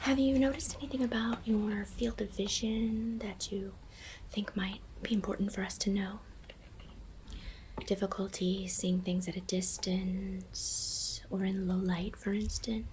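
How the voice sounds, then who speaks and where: A woman in her thirties whispering in an ASMR eye exam roleplay, hushed and breathy, gently guiding close to the microphone. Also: mid-range voice, little pitch movement, noisy background. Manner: slow, hushed, gently instructing. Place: small indoor studio with dark backdrop.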